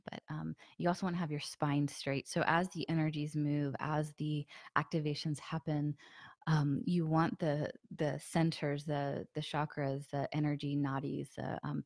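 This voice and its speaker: A woman guiding a meditation group with a slight hoarseness from a sore throat, talking softly with many fillers and sometimes dropping to a breathy near-whisper. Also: sits low, no room sound, clean studio sound. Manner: soft, relaxed, many fillers. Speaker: meditation guide. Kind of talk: guided meditation with a live group.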